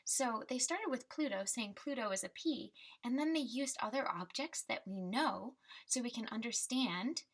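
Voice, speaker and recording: A woman with a high, breathy-husky voice reads aloud to children as a teacher would. Her pitch moves in an animated way and her pace is unhurried. She explains things simply and deliberately. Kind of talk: children's picture book read-aloud and simple lesson.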